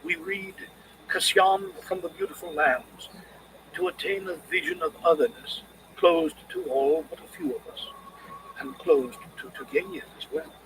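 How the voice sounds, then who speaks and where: A man reading scripted literary criticism as an audiobook narrator, in a measured, expressive way. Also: a little high, background noise.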